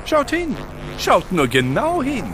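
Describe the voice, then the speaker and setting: Casting: mocking villain thief, extremely high, wildly swooping, slow teasing delivery, noisy effects behind. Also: male voice, huge pitch swings, heavily acted. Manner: teasing, drawn-out. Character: sly, taunting male master thief. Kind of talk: children's audio drama acting.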